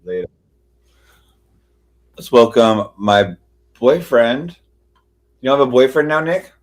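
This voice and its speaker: A man's voice: medium pitch, expressive, slow. A man hosting a livestream in casual chat, rambling along with lots of "like" fillers. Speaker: livestream host.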